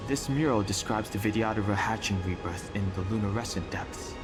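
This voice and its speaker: A man playing a solemn, reserved young warrior in a video game, speaking gravely, formally and deliberately. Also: fairly low pitch, natural voice. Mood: solemn, reciting. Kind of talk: video game character acting. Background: music.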